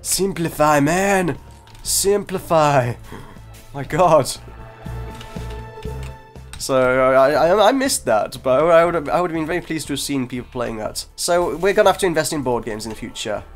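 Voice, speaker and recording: A man's voice: fairly high pitch, lively intonation, measured pace, faint music behind. A congested man, hosting a gaming video with casual, self-deprecating chat that breaks off mid-sentence. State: congested from a cold. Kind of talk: casual gameplay commentary. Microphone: desk microphone.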